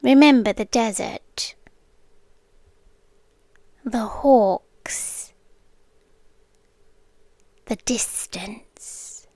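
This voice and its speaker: A British woman playing a possessive, softly menacing yandere queen in an ASMR roleplay, speaking in a soft, breathy voice that often drops to a whisper, close to the mic. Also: fairly high pitch, dry recording. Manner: slow, deliberate, intimate. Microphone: close, dry microphone.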